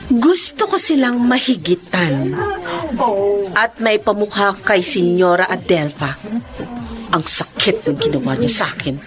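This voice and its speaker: An actress playing a defiant, vengeful young bar worker in a taunting, theatrical radio drama manner. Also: female voice, mid-range voice, very animated, unhurried, pauses often. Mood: bitter, resolute. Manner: taunting, laughing scornfully, theatrical. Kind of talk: Filipino radio drama acting. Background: loud background music.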